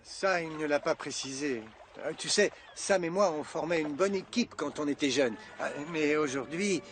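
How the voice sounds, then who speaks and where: A man's voice: on the high side, moderate pace, a little hiss or hum, lightly acted. A man voicing an easygoing fisherman dad in a children's cartoon, hesitant and stammering when flustered. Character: easygoing, chore-dodging fisherman dad, excitable and sheepish. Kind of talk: animated children's cartoon dub. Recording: music playing behind the voice.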